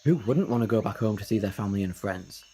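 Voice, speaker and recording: A man's voice: lowish voice, fast talker, mellow, slight background noise, natural voice. A man playing an eager, ageless time-travelling adventurer in an audio drama, earnest and persuasive with flippant asides. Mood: eager, reassuring. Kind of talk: fan audio drama acting.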